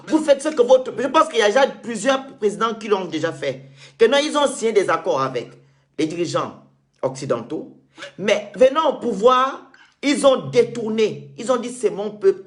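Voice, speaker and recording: Insistent man hosting a call-in debate. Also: high voice. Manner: insistent, repeats words when making a point. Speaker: show host.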